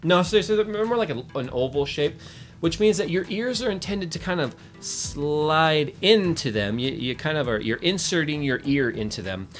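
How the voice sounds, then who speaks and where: A man's voice: fairly high pitch, lively intonation, moderate pace, faint music behind. A man giving a casual, jokey product review in a chatty way, often interrupting himself. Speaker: tech reviewer.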